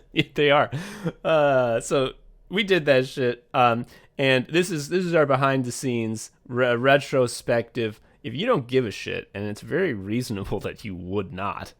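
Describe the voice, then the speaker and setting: A young man co-hosts a podcast in a loose, rambling way, laughing often and swearing freely. His voice sits at an ordinary middle pitch. He sounds relaxed and unscripted as he talks. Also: measured pace. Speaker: podcast co-host. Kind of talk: casual podcast chat.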